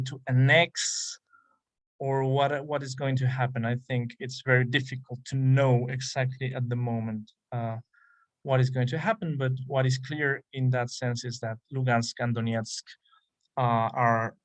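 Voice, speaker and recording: A man's voice: medium pitch, low-quality recording. Man, guest lecturer, hesitant, lots of restarts, video call audio. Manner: hesitant, many restarts and fillers. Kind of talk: academic talk and Q&A. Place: online conference call.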